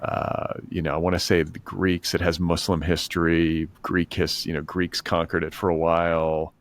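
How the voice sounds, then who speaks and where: A man's voice: deep voice, level tone, moderate pace. A middle-aged man hosting a casual pop culture podcast, rambling and hedging as he talks. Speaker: podcast host.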